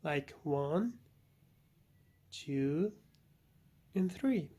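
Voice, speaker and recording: A man's voice: on the high side, expressive, very slow, mellow. A man who is a native Spanish speaker, with a Spanish accent, informally hosting an oracle card reading and often searching for words. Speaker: tarot and oracle reader, channel host.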